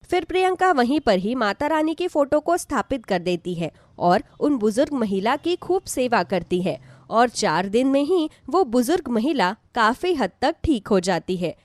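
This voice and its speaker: Casting: female storyteller for devotional tales, lively melodic reading, high register. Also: normal speed, hardly any pauses, no echo. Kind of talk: scripted devotional story narration.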